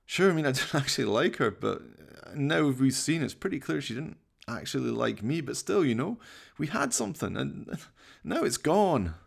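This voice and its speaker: A man's voice: mid-range voice, very animated, moderate pace, creaky, hardly any pauses, slightly rough, pitched above the performer's own voice, exaggerated voice. A man voicing a hapless slacker in a comic radio play, whiny and pleading, stammering and feeling sorry for himself. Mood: dejected, self-pitying. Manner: stammering, pleading, self-pitying.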